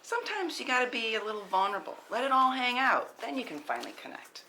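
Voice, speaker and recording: A woman's voice: a little high, sing-song, quick pace, sharp tone, full character voice. A woman acting a blunt, sweary but loyal and self-deprecating friend in a conversational script read, recorded in a noisy room. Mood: wry, confiding.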